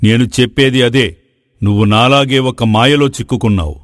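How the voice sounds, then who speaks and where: A man's voice: medium pitch, normal speed, no room sound, studio quality, close to an everyday voice. A man playing a wise, paternal king in a moral story, explaining things with familiar authority. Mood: knowing, inquiring. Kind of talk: Telugu moral story voice acting.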